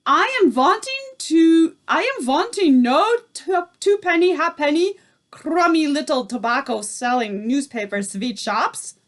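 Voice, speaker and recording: A woman reading aloud, voicing the tiny Grand High Witch, a furious and commanding female witch leader who shouts and speaks in odd broken grammar. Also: sing-song, takes it slowly, exaggerated voice. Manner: shouting, shrieking, odd foreign-sounding grammar.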